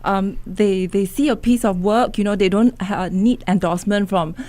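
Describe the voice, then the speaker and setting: A woman's voice: medium pitch, moderate pace, mellow. A woman photographer answering as a guest in a radio interview, speaking reflectively with frequent hesitations.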